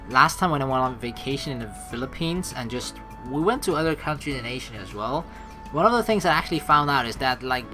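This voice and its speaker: A let's play host from the Netherlands, reading a visual novel aloud and adding casual commentary. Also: medium pitch. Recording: game music behind the voice. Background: game music.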